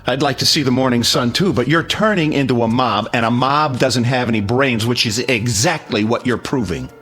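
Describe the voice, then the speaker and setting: Casting: husky male voice of reason, mid-pitched, exasperated and earnest, radio drama with light music behind. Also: normal speed, a bit bright. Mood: bitter reproach. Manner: reproachful, earnest. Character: exasperated man of conscience scolding his panicked neighbors.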